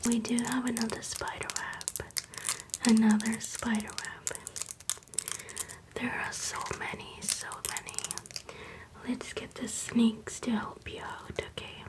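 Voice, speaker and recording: A woman whispering an ASMR rhyme in a slow, rhythmic chant and gently coaxing the listener, close to the mic in a small room. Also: a little high, little pitch movement, low-quality recording. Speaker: ASMR creator.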